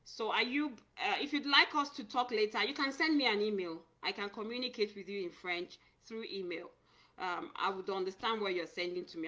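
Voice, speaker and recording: Lady on a live stream talking immigration help, bright clear voice, earnest, restarts her words a lot. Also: medium pitch. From Nigeria. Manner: earnest, repeats and restarts words, reads viewer comments aloud. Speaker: immigration consultant. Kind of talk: live-stream talk answering viewers.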